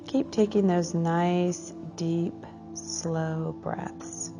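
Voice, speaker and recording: A woman leading a guided meditation for a class in a soothing, unhurried way, drawing out phrases and letting the endings trail off softly. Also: lowish voice, steady tone, over music.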